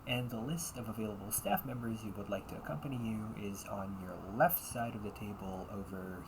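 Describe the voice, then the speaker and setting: A man's voice: on the low side, fairly quick, noisy background, natural voice. A man acting the part of a young butler cafe waiter in an audio roleplay, with formal, courteous service talk.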